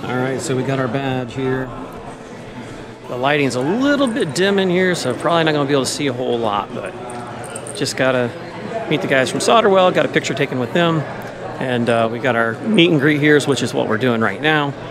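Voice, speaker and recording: A man's voice: medium pitch, lively intonation, brisk, crowd noise. A man in his forties giving a folksy, informal walk-around vlog narration into a camera held at arm's length. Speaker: vlogger. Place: busy event halls and trade show floor. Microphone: action camera at arm's length.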